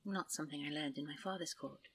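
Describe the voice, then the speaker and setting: Woman playing a breathy, genteel fairy princess. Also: sits low, fast. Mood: gentle, chatty. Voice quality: breathy, soft. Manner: genteel, polite, stammering when nervous. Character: gentle, refined, easily flustered fairy princess. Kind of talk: fantasy audio roleplay acting.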